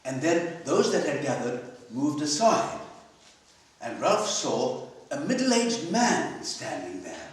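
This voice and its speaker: A man reading literary fiction aloud at a live event, with a measured, dramatic delivery and pauses. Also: on the high side, sing-song. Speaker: story reader at a literary festival. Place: library hall at a live festival. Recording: echoey hall, distant microphone.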